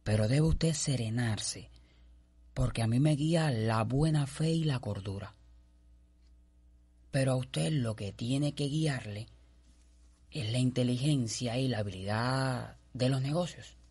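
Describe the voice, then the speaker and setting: A Cuban actor playing a calculating, persuasive colonial gentleman in a radio drama, with a formal, insistent manner. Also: medium pitch, measured pace, pauses often, husky. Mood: smooth, persuasive.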